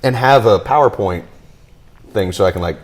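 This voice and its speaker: A man's voice: fairly low pitch, normal speed. A man hosting a podcast, telling stories in a jokey, casual way with some swearing. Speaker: podcast host. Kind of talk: casual chat and banter.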